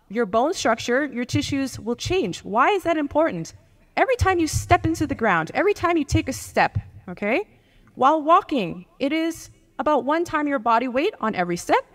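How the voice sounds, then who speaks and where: Young woman coach, high animated voice, upbeat teaching manner, handheld mic in a gym hall with long reverb. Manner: lively, coaching, laughs often, checks in with okay. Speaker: fitness coach giving a keynote. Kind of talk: live workshop talk, mixing English and German. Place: large gym hall with a seated audience. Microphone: handheld microphone.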